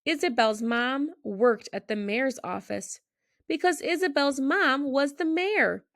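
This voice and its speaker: Female children's storybook narrator: expressive read-aloud delivery, noticeable vocal fry, close dry sound. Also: sits high, unhurried, no room sound.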